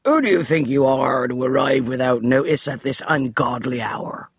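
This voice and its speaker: A man voicing a tall, stern butler who speaks in rasping, forbidding tones. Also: medium pitch, lively intonation, normal speed, no echo, studio quality. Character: tall stern male butler guarding an earl's door.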